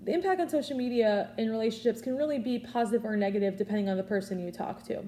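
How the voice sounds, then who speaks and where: A woman's voice: on the high side, quick pace, mellow, a little hiss or hum. A woman in her early twenties giving an informal prepared speech, offering earnest advice in a conversational way. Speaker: student public speaker.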